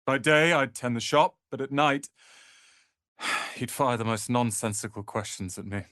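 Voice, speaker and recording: A proud young male wizard's apprentice for a fantasy video game. He speaks in a haughty, clipped and theatrical way, at a middling pitch. The sound is close and very dry. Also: measured pace, sharp tone, dry recording. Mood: subdued, sincere.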